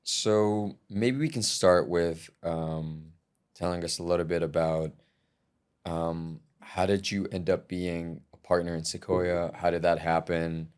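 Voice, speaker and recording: A man's voice: low voice, unhurried, smooth. A young man hosting a podcast conversation, talking loosely with many fillers and friendly praise. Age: young adult. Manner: relaxed, filler-heavy, warm toward guest. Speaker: podcast host and musician.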